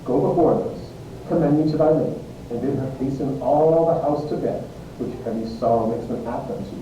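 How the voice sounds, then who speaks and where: Man acting stern friar, mellow, heavily echoing room. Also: mid-range voice, a little fast, lightly acted. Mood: encouraging. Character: stern, counselling friar, exasperated yet caring. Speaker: amateur actor, English teacher. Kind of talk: Shakespeare stage-style verse reading. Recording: very echoey room, muffled, distant, faint hiss.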